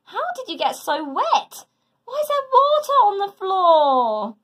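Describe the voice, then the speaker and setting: A woman's voice: very high-pitched, huge pitch swings, slow pace, fairly bright tone, voice pushed up, full character voice. A woman voicing a caring, practical mother in a children's storybook, questioning and firm yet reassuring. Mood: puzzled, firm. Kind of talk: children's storybook read aloud.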